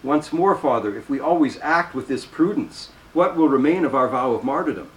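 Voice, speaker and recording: A man's voice: mid-range voice, lively intonation, close to an everyday voice. A man reading as a stern devout prioress. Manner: measured, firm. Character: calm older prioress, woman, stern and devout. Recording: roomy, distant mic, faint noise.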